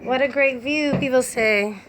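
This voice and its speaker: A woman with a somewhat high voice helps out on a casual live stream. She relays viewer comments in short, chatty remarks, over faint background noise. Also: unhurried.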